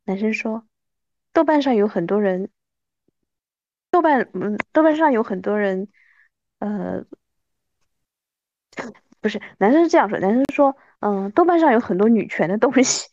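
A woman's voice: mid-range voice, animated, normal speed, pauses often, darkish tone, no room sound, dull audio. A woman in her thirties chatting casually like with an old friend, in a playful, self-mocking way. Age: 30s. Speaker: podcast host.

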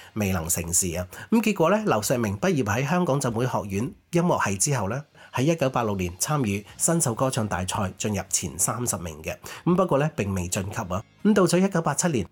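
A man's voice: mid-range voice, slightly rough. A man chattily co-hosting a music nostalgia show, sharing conversational commentary. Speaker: co-host of a Cantopop nostalgia show.

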